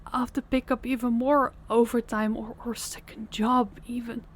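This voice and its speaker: A young woman voicing a tired, tender working girlfriend in an audio roleplay, speaking softly and intimately, often close to a whisper. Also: fairly high pitch, little pitch movement, moderate pace, slight background noise, natural voice. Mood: shocked, anxious. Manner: soft-spoken, intimate, often near a whisper. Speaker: voice actor.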